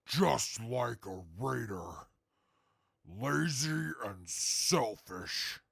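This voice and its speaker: A man's voice: medium pitch, lively intonation, drawn-out words, creaky, a bit bright, slightly rough, no room sound, clean studio sound, exaggerated voice. A man playing a stern, blunt and formal companion with a stiff, scornful manner in an audio drama. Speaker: voice actor. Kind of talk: post-apocalyptic audio drama acting.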